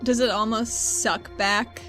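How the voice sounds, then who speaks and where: A woman's voice: a little high, fairly even intonation, slow pace, fairly bright tone, faint music behind. A woman playing a tabletop role-playing game, chatting and narrating casually.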